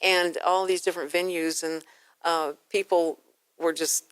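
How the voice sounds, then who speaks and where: A woman's voice: lowish voice, fairly even intonation, a little slow, a bit bright, clear, resonant tone, dry recording. A woman with an American accent hosting a chatty radio interview, rambling informally and acting out quotes as she tells stories. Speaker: radio show host.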